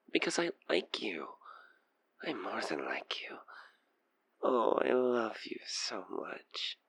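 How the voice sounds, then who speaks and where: A man's voice: on the low side, creaky, fairly bright tone, no room sound, full character voice. A man playing an obsessed, taunting stalker killer in an intimate audio roleplay, theatrical and teasing as he slips between murmurs and whispers. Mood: smug, threatening, then obsessive, intimate. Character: obsessed male stalker killer, playfully menacing, infatuated, taunting.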